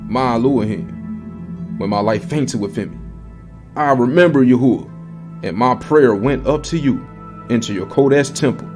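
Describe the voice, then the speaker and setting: A man's voice: medium pitch, darkish tone, close to an everyday voice. A man playing a solemn, devout Hebrew prophet in a dramatized scripture reading, declarative and prayerful.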